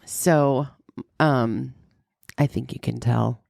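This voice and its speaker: A middle-aged woman hosting a casual, joking podcast chat, her voice deepened and congested by a sinus infection, with vocal fry. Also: fairly even intonation, very slow, darkish tone, no room sound, studio quality. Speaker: podcast host.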